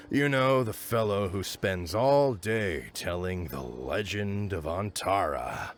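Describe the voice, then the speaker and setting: Low-voiced, hesitant market seller. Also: male voice, fairly bright tone, a little hiss or hum, exaggerated voice. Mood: helpful, musing. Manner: hesitant, casual, unsure. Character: unassuming, hesitant male secondhand market seller, mild and uncertain. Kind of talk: video game voice acting.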